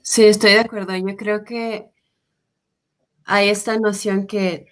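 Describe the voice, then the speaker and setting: A young woman interviewing on a video call, speaking tentatively and pausing mid-sentence. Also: medium pitch, level tone. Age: young adult. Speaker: interviewer, health policy associate. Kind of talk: conversational interview. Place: indoors, plain room with a window. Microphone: computer mic on a video call.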